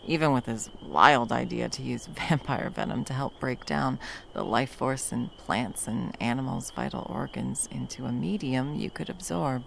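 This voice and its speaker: A woman playing a battle-worn monster hunter, gruff but tenderly protective, in an intimate ASMR roleplay with soft teasing and drops into whispers. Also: extremely low pitch, normal speed, a little hiss or hum, full character voice. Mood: caring, relaxed.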